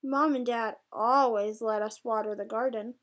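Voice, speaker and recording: A woman voicing excitable, cheeky little bunny children from a picture book, in a dramatic, childlike way. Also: fairly high pitch, normal speed, pitched below the performer's own voice, lightly acted. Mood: cheeky, eager.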